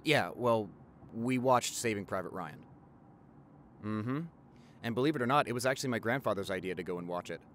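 A man's voice: fairly low pitch, a little fast, long pauses, fairly bright tone, slight background noise, close to an everyday voice. A young man playing an affectionate, playful doctor boyfriend in an intimate audio roleplay, chatty and gushing as he talks to the listener. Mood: earnest. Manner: gushing, chatty, with repeated words and exclamations.

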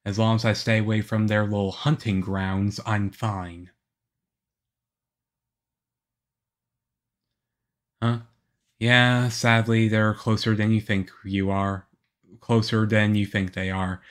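A man playing a shy, moody, lonely young half-vampire boyfriend in an ASMR roleplay, with a hesitant, halting, earnest delivery. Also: on the low side, flat delivery, lightly acted. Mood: quiet, matter-of-fact.

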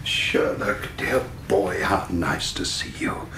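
An actor playing a genteel, smugly clever English gentleman in a radio drama, speaking in a leisurely, pompous way. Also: low voice, slow pace, gravelly, background noise, full character voice. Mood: genial. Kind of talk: BBC radio drama acting.

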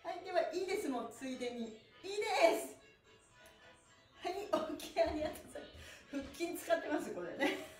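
High, lively middle-aged woman instructor. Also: a little fast, faint music behind. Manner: energetic, smiling, encouraging, often chuckling. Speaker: stretching instructor and livestream host. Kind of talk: cheerful exercise class with rhythmic chanted cues. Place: small bare-walled indoor room. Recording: echoey room.

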